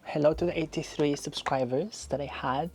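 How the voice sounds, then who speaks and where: A man's voice: a little high, fairly even intonation, moderate pace, a little mellow. Chatty man in his thirties, vlogging. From Spain. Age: 30s. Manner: chatty, reflective, encouraging, repeats phrases for emphasis. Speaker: YouTuber. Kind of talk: casual vlog talking to camera.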